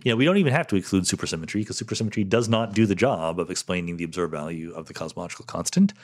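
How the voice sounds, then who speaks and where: A man's voice: low voice, rapid-fire. A middle-aged man hosting a solo podcast, answering listener questions candidly with fillers like "um" and "you know". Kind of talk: solo podcast Q&A, thinking aloud.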